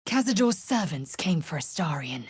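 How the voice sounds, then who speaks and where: A woman voicing a fierce, haughty young alien warrior in a video game, with a husky, breathy rasp and a clipped, imperious delivery. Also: on the low side, very animated, normal speed, no echo, clean studio sound, heavily acted. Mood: curt, appraising. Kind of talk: video game character voice lines.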